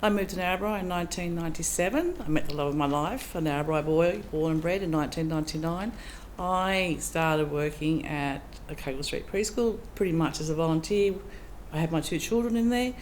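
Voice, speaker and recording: A woman's voice: low voice, a little fast, darkish tone, slight background noise. Chatty woman at a public forum. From Australia. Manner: plain, chatty, with frequent ums. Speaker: council candidate. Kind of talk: candidate speech at a public forum.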